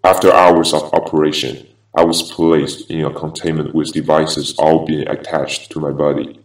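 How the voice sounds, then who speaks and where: A man voicing a vengeful half-machine former attorney in a grave, deliberate, ominous horror game monologue. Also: a bass voice, level tone. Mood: bitter, brooding. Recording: echoey, distant-sounding voice.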